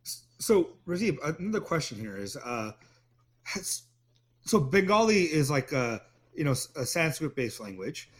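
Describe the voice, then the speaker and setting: A man as co-host asking a question, halting and searching for words. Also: fairly high pitch, sing-song. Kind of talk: interviewing.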